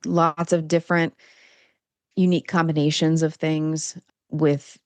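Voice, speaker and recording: A woman guest on a podcast, an author and coach, answering eagerly and explaining things in quick lists. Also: deep voice, level tone, no room sound. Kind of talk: casual interview chat.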